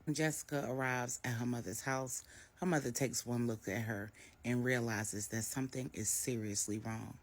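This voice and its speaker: A woman's voice: extremely low pitch, steady tone, normal speed. A woman storyteller narrating a scripted drama for grown-ups.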